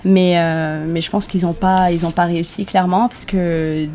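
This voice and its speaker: A young woman from Vietnam speaks in a slightly low voice, thinking aloud as she answers an interviewer. She hesitates often and fills gaps with short pauses. A faint background noise runs under the conversation. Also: fairly even intonation, mellow. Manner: hesitant, many filler pauses. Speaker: guest, author.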